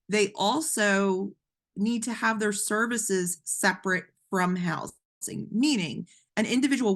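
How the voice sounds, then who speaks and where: A woman presenting a webinar in a conversational, explanatory way, her voice a little hoarse, with frequent ums and restarts. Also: mid-range voice. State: slightly hoarse, losing voice.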